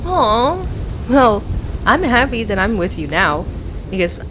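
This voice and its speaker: A young woman acting out a playful, excitable best friend with a secret crush in an ASMR roleplay audio, speaking in a chatty, expressive way. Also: fairly high pitch, slow, slight background noise, heavily acted, rough recording. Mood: tender.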